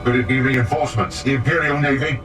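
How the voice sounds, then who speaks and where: An actor playing a steadfast space marine sergeant, giving authoritative, clipped military orders in a video game. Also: male voice, mid-range voice, fast, recorded from a distance, music in the background, close to an everyday voice. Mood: stern.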